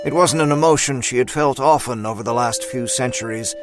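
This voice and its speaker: Give a male narrator reading dramatic fiction. His pitch is average for a man, and his scripted storytelling sits over soft background music. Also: fairly quick.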